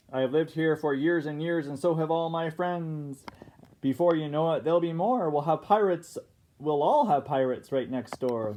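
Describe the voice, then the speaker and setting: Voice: medium pitch, a little mellow, close to an everyday voice. A storyteller voicing indignant, gossiping storybook neighbours with emphatic, scandalised complaining. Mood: outraged. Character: indignant, gossiping neighbours fussing about pirates.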